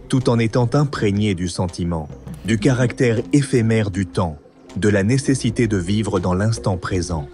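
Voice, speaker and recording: A man narrates a cartoon story from a script. His voice is on the low side, in a storybook style. Faint music accompanies him. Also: warm tone.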